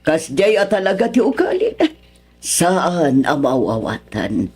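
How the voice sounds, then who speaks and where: A woman playing a kindly, reassuring middle-aged mother-in-law in an Ilocano radio drama, speaking in a gentle, motherly way with an Ilocano accent. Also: deep voice, wildly swooping pitch, takes it slowly, slightly rough, soft background music, heavily acted. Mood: consoling.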